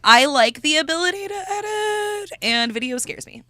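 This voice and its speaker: A young woman guest on a podcast, talking loosely with frequent laughter and casual swearing. Her voice is deep with a slight rasp, yet bright in tone and very animated in pitch. The recording is close and dry. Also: moderate pace, no echo. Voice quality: deep, raspy at times from vaping. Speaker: podcast guest, content creator.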